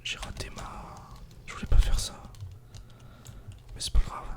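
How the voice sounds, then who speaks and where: A woman whispering breathy, unvoiced ASMR commentary while playing a video game, murmuring to herself and to viewers. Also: measured pace.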